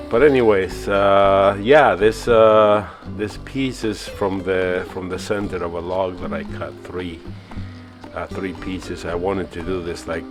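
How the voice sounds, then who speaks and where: Guy, deep voice, laid back woodturning voiceover, lots of uhs, faint music under it. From Argentina. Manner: relaxed and self-mocking, with many uh fillers. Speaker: woodturning channel host. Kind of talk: casual voice-over narration.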